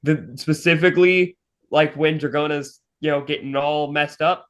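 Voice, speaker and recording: A man's voice: a little high, fairly even intonation, normal speed, a bit bright, clear, resonant tone. A man talking casually and earnestly, with emphatic praise and reflection, as a podcast host. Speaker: fandub director and podcast host. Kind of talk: casual chat.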